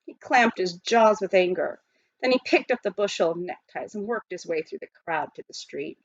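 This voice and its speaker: A woman reading a story aloud as scripted, expressive storybook narration, in slightly muffled audio. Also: on the low side, normal speed, no echo. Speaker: audiobook reader.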